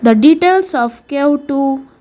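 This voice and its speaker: A woman narrating a travel vlog in a measured, explanatory voice-over. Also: sits high, expressive, warm tone. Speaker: travel vlogger. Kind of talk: scripted narration.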